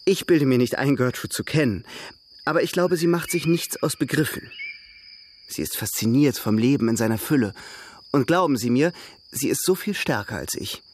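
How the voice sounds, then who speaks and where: An actor playing an urbane young brother in a radio drama, persuading in a courtly, playful, eager way. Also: male voice, medium pitch, expressive, moderate pace, slight background noise, lightly acted. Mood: eager, persuasive.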